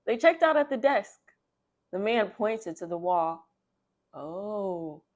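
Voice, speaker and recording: Expressive woman reading aloud for children. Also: a little high, unhurried. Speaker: children's read-aloud host, librarian. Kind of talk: children's storybook read-aloud with character voices and asides.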